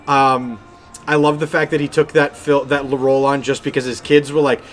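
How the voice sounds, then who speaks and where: A man in his thirties chatting casually as a podcast co-host, in a rambling, animated way. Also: mid-range voice, a little fast, soft background music. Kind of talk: casual chat about games and films.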